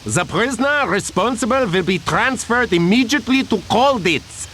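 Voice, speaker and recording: An actor playing a haughty German general in a video game, formal and threatening, with a German accent. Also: male voice, sits high, lively intonation, a bit bright, a little hiss or hum, poor audio. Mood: cold menace. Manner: formal, slow, threatening, commanding. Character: haughty menacing German general addressing prisoners. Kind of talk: video game cutscene acting.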